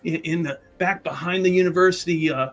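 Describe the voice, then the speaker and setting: A man voicing a roguish, thrill-seeking occultist in a tabletop game, rambling, excitable and conspiratorial. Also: fairly high pitch, a little fast, faint music behind, lightly acted. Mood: shady, eager.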